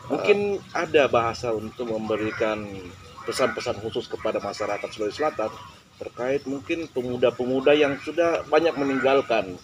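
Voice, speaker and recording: A man's voice: mid-range voice, fairly quick. A man hosting an interview, who keeps affirming the guest with short, eager back-channel responses. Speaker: host. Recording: background noise.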